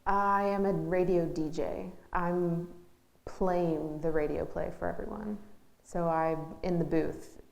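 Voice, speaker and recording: Creaky, slow-talking woman in an echoey room. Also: fairly low pitch, fairly even intonation. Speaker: stage manager, interview guest. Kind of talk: casual interview chat.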